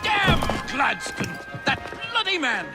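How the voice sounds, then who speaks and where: An irascible Victorian English gentleman politician for a game. His voice is high for a man and swings wildly as he blusters pompously. Loud music plays behind him. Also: wildly swooping pitch, slow, heavily acted. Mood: furious, blustering. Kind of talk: video game cutscene acting.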